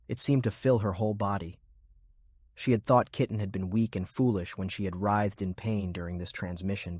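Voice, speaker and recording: A man's voice: on the low side, a little mellow, no room sound, clean studio sound. A man reading scripted narration of military fantasy fiction as an audiobook narrator.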